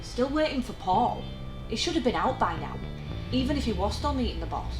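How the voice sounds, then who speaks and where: A woman's voice: a little high, big pitch swings, a little fast. A young woman playing a jaded, sarcastic call-centre worker in her twenties in an audio drama. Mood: concerned. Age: young adult. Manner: informal, dry sarcasm. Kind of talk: naturalistic audio drama acting. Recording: roomy, music underneath.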